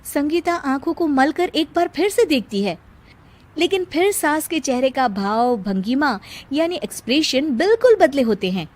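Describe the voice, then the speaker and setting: High-pitched woman narrating a story. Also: lively intonation, moderate pace, darkish tone. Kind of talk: scripted comic storytelling.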